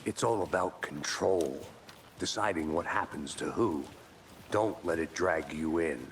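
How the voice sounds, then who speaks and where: An actor playing a gruff, world-weary FBI agent in a video game, with a dry, grumbling, laconic delivery. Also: male voice, low voice, a little slow. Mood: grim. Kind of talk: cinematic video game acting. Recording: distant mic, faint ambient noise.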